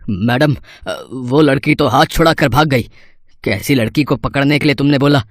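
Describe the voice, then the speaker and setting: A man's voice: mid-range voice, moderate pace, no room sound, clean studio sound, close to an everyday voice. A man playing a threatening, bossy kidnapper thug in an acted moral story cartoon. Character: menacing male thug and child kidnapper, demanding money.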